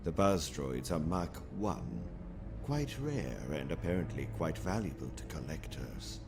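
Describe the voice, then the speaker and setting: A deep-voiced, composed alien naval officer, from a sci-fi audio comic. He speaks formally and precisely, every phrase measured, with music behind him. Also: animated, full character voice. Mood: calm, deferential. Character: calm, calculating alien male naval officer. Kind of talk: audio comic drama acting.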